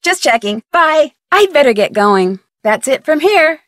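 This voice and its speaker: A young woman with an American accent playing a curious, polite amateur detective in an adventure game, speaking clearly and inquisitively. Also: high voice, big pitch swings, a little slow, fairly bright tone, no room sound, clean studio sound, heavily acted. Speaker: game protagonist. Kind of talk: scripted adventure game dialogue.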